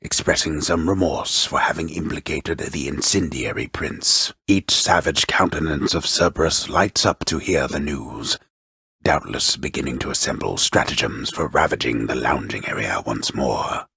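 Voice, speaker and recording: A man voicing a solemn, wry video game storyteller, with gravelly, breathy husk and theatrical, literary phrasing. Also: a bass voice, animated, normal speed, sharp tone, dry recording, studio quality, exaggerated voice. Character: solemn old-fashioned omniscient storyteller with wry gravity. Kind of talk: scripted dramatic storytelling narration.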